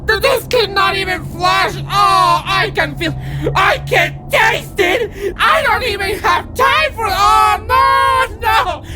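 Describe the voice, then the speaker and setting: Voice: extremely high pitch, slow, hardly any pauses, shouting. A performer voicing a crude old granny spirit in a cartoon parody, whiny, melodramatic and foul-mouthed. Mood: disgusted wailing.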